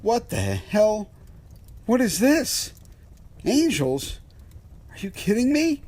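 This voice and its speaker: A man playing a bewildered, skeptical trucker in an audio horror drama, husky and incredulous. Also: high-pitched, wildly swooping pitch, slow, darkish tone, heavily acted. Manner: incredulous, exclaiming.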